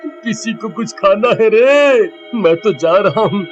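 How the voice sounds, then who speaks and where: A man's voice: sits high, animated, lo-fi sound, faint music behind. A man voicing a cowardly, whiny, food-loving comic character in a horror cartoon, drawling and ending phrases with "re". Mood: hungry, whiny. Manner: drawn-out whining, ends phrases with "re", stammers in fear. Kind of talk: exaggerated Hindi horror-cartoon voice acting.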